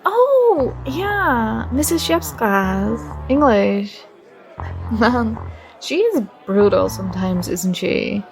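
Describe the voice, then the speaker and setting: Slow, gravelly-edged friendly young student played by a woman. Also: fairly high pitch, big pitch swings, faint music behind, heavily acted. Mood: friendly, chatty. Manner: gentle, unhurried, with small giggles. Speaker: ASMR roleplay performer. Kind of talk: ASMR roleplay, soft conversational acting.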